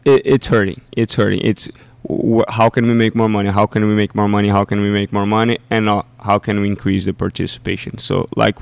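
A man's voice: on the low side, vocal fry, clean tone, no echo. A man, a youth soccer coach, talking casually on a podcast and often repeating himself as he thinks aloud.

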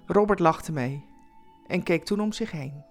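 A woman's voice: on the low side, measured pace, soft background music. A woman storyteller reading a scripted Christmas tale as dramatic narration.

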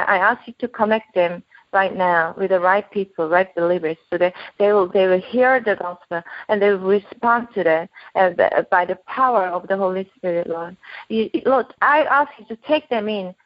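A Korean-born woman with an accent mixing Korean, German and British, praying aloud and chatting as a guest minister with many halting restarts. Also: mid-range voice, dry recording. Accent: Korean mixed with German and British. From South Korea. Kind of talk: spoken prayer and podcast chat. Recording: dull, dry podcast audio.

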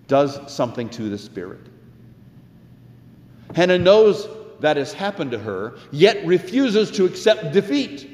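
A man preaching a sermon as a pastor, with deliberate, emphatic phrasing and conversational asides. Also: a little high. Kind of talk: sermon, expository storytelling with quoted scripture. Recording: echoey hall with long reverb.

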